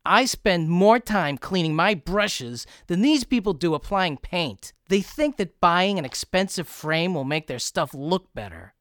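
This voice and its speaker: Guy doing a whiny snobby starving artist, high voice, swoops around a lot, audiobook story. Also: lively intonation, a little slow, few pauses, fairly bright tone, dry recording, clean studio sound. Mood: indignant. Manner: whiny, aggrieved complaining. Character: indignant, snobbish, struggling middle-aged male artist.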